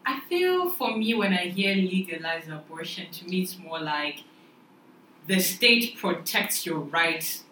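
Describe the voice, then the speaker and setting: A young woman from Zimbabwe joins a casual discussion, curious and chatty with a light joking streak. Her voice is bright and forceful. It sounds roomy and distant, with a faint hiss behind it. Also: on the low side, lively intonation, measured pace. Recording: echoey room, mic at a distance, faint noise.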